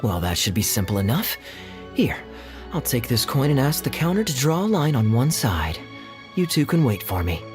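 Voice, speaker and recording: A man's voice: medium pitch, big pitch swings, normal speed, over music, close to an everyday voice. An actor playing a confident, challenging young man in a video game, breezy and assertive, with an assured, articulate manner. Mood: brisk, businesslike. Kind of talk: acted video game dialogue.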